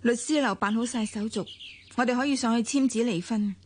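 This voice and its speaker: An actress in a Hong Kong radio drama playing a soft-spoken, refined young wife with a Hong Kong Cantonese accent. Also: female voice, on the high side, brisk, slight background noise, close to an everyday voice. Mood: gentle. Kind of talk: broadcast radio drama acting.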